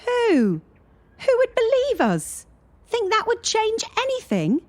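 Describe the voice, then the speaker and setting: A woman's voice: very high voice, huge pitch swings, slow, full character voice. A woman playing a cynical, hardened young gymnast from Kyiv in a radio play, blunt and world-weary. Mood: dismissive, cynical.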